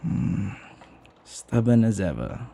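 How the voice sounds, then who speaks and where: Man playing a flirty butler, breathy and low. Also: very animated, full character voice. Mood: coaxing, fond. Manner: soft, teasing, tender, hesitant pauses. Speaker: voice actor. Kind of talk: ASMR romantic roleplay.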